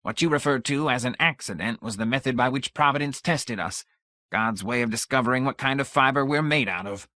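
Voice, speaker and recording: A man's voice: mid-range voice, a little fast, no echo, studio quality, voice pushed up, lightly acted. A man doing a frigid, pious old man. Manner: quiet and cold.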